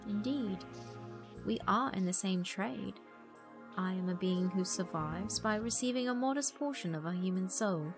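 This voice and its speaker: A woman's voice: mid-range voice, normal speed, music in the background, natural voice. A woman voicing a genteel male spirit in a visual novel, polite, drawling and smugly amused. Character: sly, genteel male tea-drinking supernatural gentleman.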